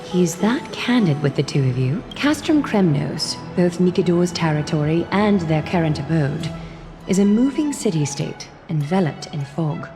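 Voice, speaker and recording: Elegant composed noble lady in a game, lowish voice, very polite and formal, music behind. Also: animated, moderate pace. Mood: composed, gracious. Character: poised, gracious noblewoman leading a holy city. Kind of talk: video game voice acting.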